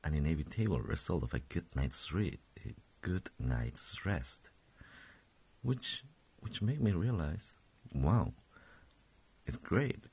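Gravelly deep-voiced young man, just woken. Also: expressive, slow pace, long pauses, a little mellow. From Colombia. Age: 20s. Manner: rambling, self-correcting, chuckling. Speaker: podcast host. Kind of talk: casual solo podcast.

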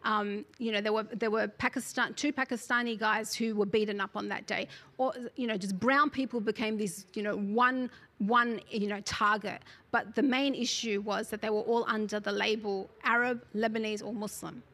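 A woman, speaking as an interview guest who talks about her book in an articulate, earnest way and often fills pauses with "you know". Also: medium pitch, fairly even intonation, fairly bright tone. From Australia. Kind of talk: conversational interview.

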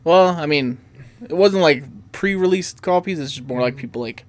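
A man's voice: a little high, moderate pace. A man hosting a podcast in casual, loose conversation, rambling along with lots of "like". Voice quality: frequent filler "like" in loose, rambling talk. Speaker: podcast host. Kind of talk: casual group podcast chat. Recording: clear background noise and room ambience.

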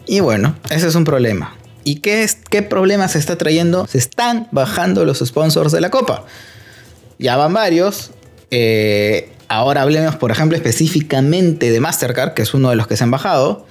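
Co-host, man, fairly high voice, chatty explainer, playful asides. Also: lively intonation, normal speed, soft background music. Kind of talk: casual podcast chat about football news.

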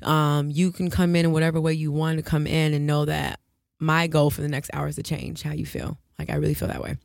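Lively Chicago-accented woman, low voice. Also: a little fast, vocal fry, no room sound, studio quality. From Chicago, USA. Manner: bubbly, laughs often, chatty with fillers. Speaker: yoga instructor, guest. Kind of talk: casual podcast interview.

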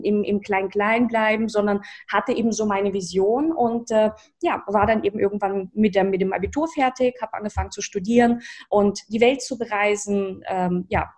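A woman in her thirties whose first language is Russian, who grew up in Russia, telling her life story as an interview guest with many fillers and restarts. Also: medium pitch. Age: 30s. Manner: lively, reflective, frequent fillers and restarts. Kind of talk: personal storytelling in a talk.

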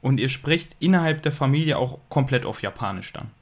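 A man interviewing a guest in a relaxed, chatty way, laughing often and asking leading questions. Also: medium pitch, brisk, slight background noise. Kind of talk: casual interview.

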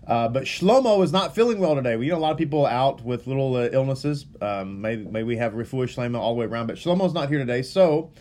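A man's voice: mid-range voice, lively intonation, fast talker, few pauses, darkish tone. A man from Texas, a rabbi casually welcoming a livestream audience before a Torah reading, earnest and rambling, often stumbling and repeating words.

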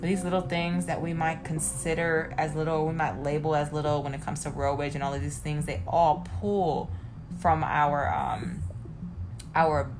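A woman with an American accent in a casual chat, animated, slangy and emphatic. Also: sits low, moderate pace. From south side of Atlanta, United States. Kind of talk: casual chat over drinks. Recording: music behind the voice. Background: music.

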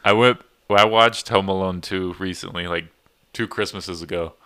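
A man's voice: low-pitched, steady tone, normal speed, pushed and bright, a little hiss or hum. A young man in casual chat, trading dry jokes and chuckling between remarks.

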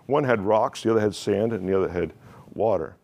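A man's voice: fairly low pitch, a little fast, vocal fry, darkish tone. Man preaching a conversational sermon. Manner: conversational, repeats words and restarts, asks the congregation questions. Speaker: pastor.

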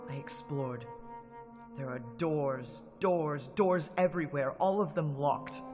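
A man's voice: fairly high pitch, takes it slowly. A man narrating a classic radio drama in a tense, dramatic storytelling manner. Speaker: narrator and lead actor. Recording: loud music under the voice.

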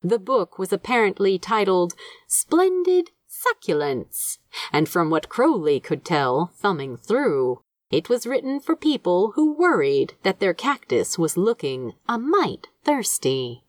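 A woman narrating a scripted piece of fiction in an expressive storytelling manner. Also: medium pitch, unhurried, dry recording, clean studio sound.

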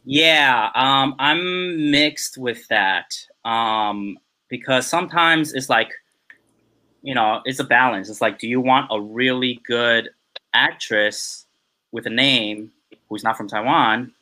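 A man's voice: mid-range voice, slow pace, sharp tone. A young man chatting as a podcast guest, rambling and filling his talk with "you know" and "um". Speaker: podcast guest, film assistant director. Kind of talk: casual interview chat.

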